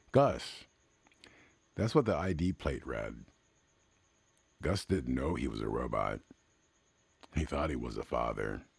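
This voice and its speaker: A man narrating a scripted story in the manner of classic radio drama, somber and measured, with dramatic pauses between short phrases. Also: no echo. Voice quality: very deep, gravelly, husky.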